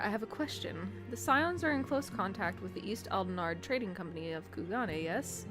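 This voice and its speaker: Casting: woman voicing a terse game lancer, formal and reserved, over clear background music. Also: medium pitch, close to an everyday voice. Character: gruff, reserved male lancer warrior, blunt. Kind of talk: reading game dialogue aloud.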